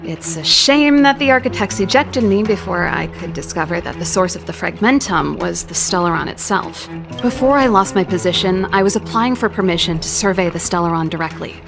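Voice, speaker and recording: An actress voicing a wry, candid young exiled scientist in a video game, talking in a reflective, articulate way. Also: female voice, lowish voice, expressive, fairly quick, gravelly. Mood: explanatory. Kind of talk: scripted dramatic game dialogue. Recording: loud background music under the voice. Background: game cutscene music.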